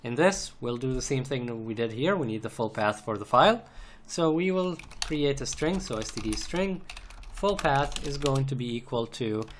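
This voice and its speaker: Male tutorial instructor, mid-pitched, methodical and repetitive, unscripted screencast with faint noise behind. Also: animated, normal speed. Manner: methodical, repeats phrases as he goes. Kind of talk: unscripted step-by-step screencast narration. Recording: noisy home recording, typing and clicks. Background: keyboard typing, mouse clicks, background noise.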